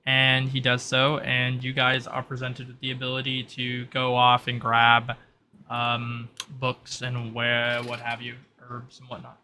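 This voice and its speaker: A man's voice: medium pitch, monotone, measured pace, bright, forceful tone, a little hiss or hum. A man acting as game master, explaining options in a hesitant, improvised way over an online voice call. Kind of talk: improvised narration of an online tabletop roleplay.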